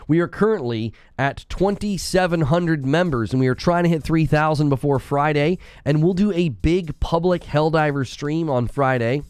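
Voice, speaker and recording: A man hosting a gaming news live stream, chatty and opinionated, his voice slightly hoarse and lower while recovering from being ill. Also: a little mellow, no echo. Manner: opinionated, chatty, thanks viewers by name. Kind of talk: live-stream commentary and chat shout-outs.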